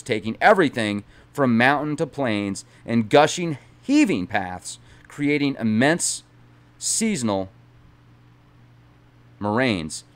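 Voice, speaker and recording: A man streaming as he reads a book aloud dramatically, breaking off now and then for chatty digressions to his viewers. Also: medium pitch. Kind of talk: reading a book aloud with casual asides.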